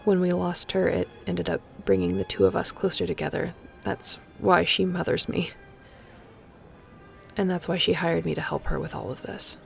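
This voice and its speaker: A woman playing a snarky, guarded but fond young spaceship pilot in an intimate audio roleplay, talking in a casual, teasing, confiding way to the listener. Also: lowish voice. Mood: tender, confiding. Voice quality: heavy creaky fry.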